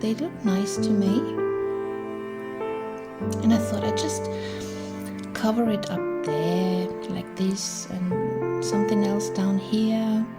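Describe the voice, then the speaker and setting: A middle-aged woman, chatting gently through a crafting tutorial and thinking aloud as she works. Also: medium pitch, fairly even intonation, slow pace, pauses often, loud music behind the voice. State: hoarse. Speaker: crafting channel host. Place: indoors at a craft table.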